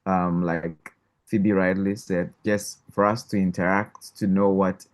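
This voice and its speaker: A man's voice: low voice, little pitch movement, unhurried. A man from Ghana, a regional coordinator, speaking formally and courteously on a video call. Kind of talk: online meeting presentation.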